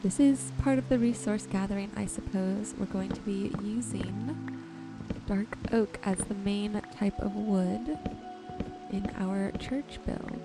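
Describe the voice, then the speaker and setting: A woman giving relaxed ASMR-style gameplay commentary, gently thinking aloud without hurry. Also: mid-range voice, slow, loud music behind the voice. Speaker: gaming video host.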